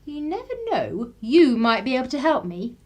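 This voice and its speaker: Actress as a genteel English lady visitor, high-pitched. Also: big pitch swings, normal speed. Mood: conspiratorial. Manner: genteel, polite. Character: polite, chatty, inquisitive English woman visitor with secrets. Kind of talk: radio drama acting.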